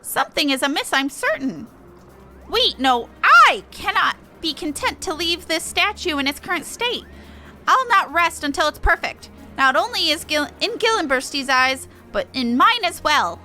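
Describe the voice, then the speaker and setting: A small, eager game creature, voiced by a woman, high and animated. It speaks earnestly, like a perfectionist crafter, over clear background music. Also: moderate pace, full character voice. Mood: determined. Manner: earnest, exclaiming, ends remarks with a verbal tic. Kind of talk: reading game dialogue aloud in character.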